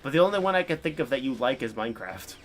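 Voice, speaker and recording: A man livestreaming a game, chatting casually in an informal, halting, rambling way. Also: mid-range voice, sing-song, a little hiss or hum. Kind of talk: casual chat while gaming. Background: game music.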